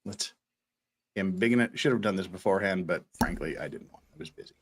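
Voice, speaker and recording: A man's voice: low-pitched, fast talker, a little hiss or hum. A man hosting a casual livestream, rambling and repeating words as he thinks aloud. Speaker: host of an online show.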